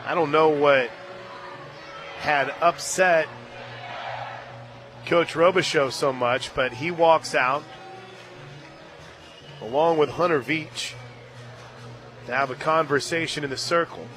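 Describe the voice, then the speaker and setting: Bright-voiced man calling softball on radio. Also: slow pace, long pauses. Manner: excited calls on action, conversational between pitches. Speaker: play-by-play announcer. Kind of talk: live radio softball commentary. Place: radio booth at an outdoor ballpark. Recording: crowd and stadium music behind. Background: crowd, loudspeaker music.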